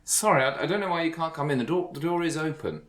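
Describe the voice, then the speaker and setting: A man performs a pedantic male office worker in a tabletop game. He is literal-minded and hesitant, tripping over words as he explains things with apologetic precision, pitched up. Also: fast talker, fairly bright tone, close to an everyday voice. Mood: defensive, puzzled.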